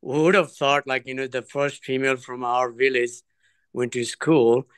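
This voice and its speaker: A man, his voice smooth and resonant. He tells his story earnestly, pausing and circling back as he goes. The sound is close and dry, with no room echo. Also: mid-range voice, flat delivery. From Nepal. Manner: earnest, digressive, hesitant phrasing. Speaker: trekking guest. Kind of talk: interview storytelling.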